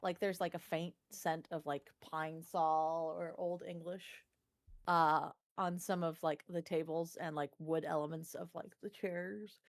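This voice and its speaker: A woman with a slightly low voice takes part in a tabletop game. She describes scenes off the cuff in loose, informal speech full of "like". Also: level tone, measured pace. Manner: frequent "like", informal. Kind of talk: improvised casual scene description.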